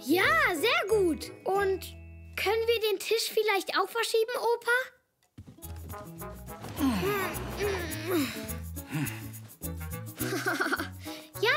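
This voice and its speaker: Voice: very high-pitched, expressive, drawn-out words, pauses often, music in the background, full character voice. A performer voicing an earnest, eager young zebra child who plays scientist in a German-dubbed children's cartoon.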